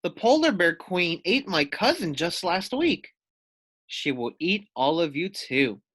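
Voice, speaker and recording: A man's voice: sits high, sing-song, unhurried, fairly bright tone, full character voice. A man voicing a grave, emphatic female seal giving warnings in a children's storybook. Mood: urgent warning. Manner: emphatic, cautionary.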